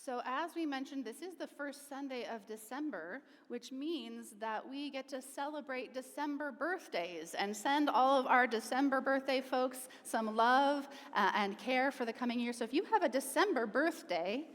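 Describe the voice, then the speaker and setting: A woman's voice: sits high, expressive, normal speed, few pauses, a bit bright. A woman leads a congregation, speaking in an informal, chatty, encouraging way. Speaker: service leader. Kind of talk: leading a congregation. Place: large meeting hall. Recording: long hall reverb.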